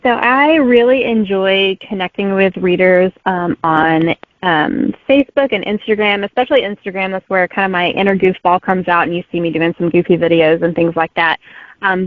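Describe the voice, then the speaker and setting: A woman's voice: on the low side, dry recording. A woman in her thirties answering interview questions as a guest author, friendly and giggly, with lots of "um" and "like". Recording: tinny phone-like remote line, dry.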